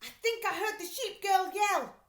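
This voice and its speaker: A woman's voice: extremely high pitch, lively intonation, moderate pace, a bit bright, voice pushed up. A woman voicing a brash, self-satisfied male art thief in a showy storybook manner. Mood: startled. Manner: showy, boastful. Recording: roomy echo.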